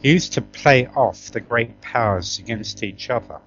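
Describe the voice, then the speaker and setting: A man's voice: medium pitch, moderate pace, highs cut off. A man from Tanzania, middle-aged, talking conversationally as an interview guest, emphatic and often checking for agreement as he reminisces.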